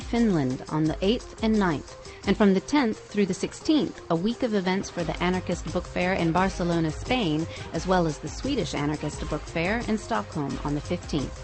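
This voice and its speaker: A woman's voice: fairly low pitch, animated, normal speed, a little mellow, soft background music. A woman co-hosting a podcast and reading scripted news items. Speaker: podcast co-host.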